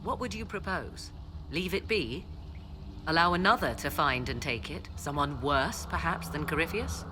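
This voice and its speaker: Proud, guarded fantasy-game sorceress. Deep register, very expressive melody, stately formal diction, music underneath. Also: female voice, background noise. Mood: earnest, persuasive. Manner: formal, measured, archaic phrasing, haughty. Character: proud, guarded sorceress mother, driven by secret ambition. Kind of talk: dramatic scripted game dialogue.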